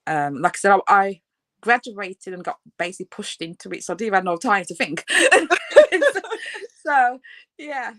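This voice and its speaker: A woman's voice: medium pitch, animated, moderate pace, a bit bright, no room sound. A woman in her thirties with a Birmingham accent, a fashion designer chatting as an interview guest, laughing often and filling her talk with ums and restarts. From England. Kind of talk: casual interview.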